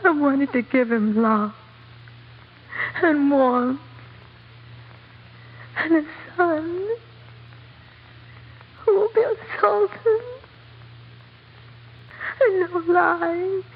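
An actress playing a dying young woman in a radio drama, anguished and tender, sobbing in a breathy, drawn-out voice. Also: very high voice, exaggerated voice. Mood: anguished, weeping. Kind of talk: 1940s radio acting. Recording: tinny band-limited old radio recording.

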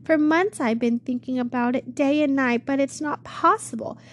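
A woman's voice: high voice, normal speed, slight background noise, voice pushed up, lightly acted. A woman playing a fearful, pleading young maiden. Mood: longing. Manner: anxious, tearful pleading.

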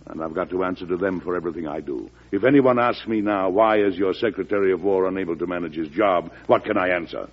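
An actor playing a wry, firm wartime American president in an old-time radio drama, measured and folksy, with an American accent. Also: male voice, low voice, husky. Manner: folksy, measured, dryly humorous. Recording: muffled vintage radio recording.

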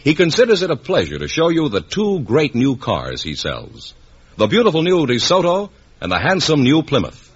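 A man's voice: a little high, huge pitch swings, normal speed, slightly rough. An American man reading a scripted 1950s radio advertisement. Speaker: commercial announcer. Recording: muffled old radio recording.

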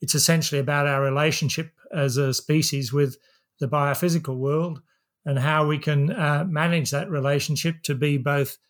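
An older man with an Australian accent, an academic guest giving reflective interview answers in measured, formal wording with frequent pauses. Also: on the high side, steady tone. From New South Wales, Australia. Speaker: academic guest, systems professor.

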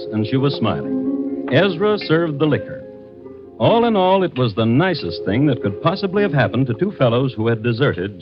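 Animated male radio narrator over music. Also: mid-range voice. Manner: deliberate, wry, conversational. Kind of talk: dramatic scripted storytelling narration, old-time radio. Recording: dull lo-fi old radio recording.

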